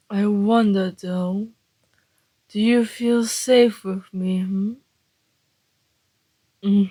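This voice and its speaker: A woman acts out a flirtatious male vampire in an ASMR roleplay, predatory yet courteous. The voice is very slow and dark, dropping into breathy half-whispers with a teasing, deliberate delivery. It sounds close and dry. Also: medium pitch, dry recording. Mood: amused, teasing. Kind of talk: ASMR roleplay, intimate scripted monologue.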